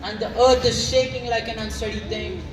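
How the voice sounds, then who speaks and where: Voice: medium pitch, little pitch movement, brisk, lightly acted. A teenage student with an Indian accent acting a frightened, awestruck Roman in a school stage play. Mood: awed, fearful. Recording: distant echoey stage, loud music.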